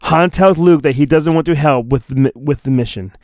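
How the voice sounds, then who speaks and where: A man hosting a podcast, giving a mock-dramatic storybook reading and breaking into jokey, deadpan asides. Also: a little high, fairly quick, no room sound. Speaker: podcast host.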